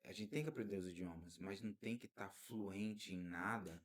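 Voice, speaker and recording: A man hosting a podcast interview, talking in a lively way and drifting into preacherly asides. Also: sits low, normal speed. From Brazil. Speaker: podcast host. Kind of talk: casual interview.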